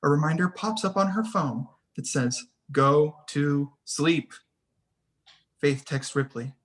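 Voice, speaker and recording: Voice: medium pitch, takes it slowly. A person reading scene headings and stage directions as scripted narration for a screenplay table read.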